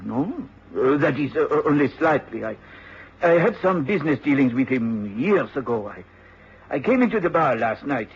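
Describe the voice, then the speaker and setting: An actor playing a suave, menacing blackmailer in a radio drama, with a husky voice and a smooth, insinuating, formal manner. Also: male voice, medium pitch, big pitch swings, normal speed, a little hiss or hum. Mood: defensive. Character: suave, menacing French blackmailer, tall dark man. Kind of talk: British radio drama acting. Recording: dull old radio drama recording.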